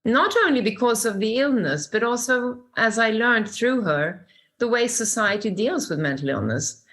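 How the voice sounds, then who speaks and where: An older woman from Sweden with a Swedish accent, telling her story as an interview guest in a warm, expressive way. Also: a little high, normal speed. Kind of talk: reflective conversational storytelling.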